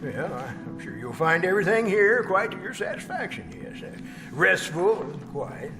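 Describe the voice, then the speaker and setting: Male actor as sinister fussy employer, high swooping voice, oily politeness, hesitant delivery, old-time radio drama. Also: sing-song, normal speed. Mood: smarmy, eager. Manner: hesitant, chuckling, over-polite, flustered delivery. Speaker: amateur radio troupe actor. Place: live performance at a winery. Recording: live stage performance with music.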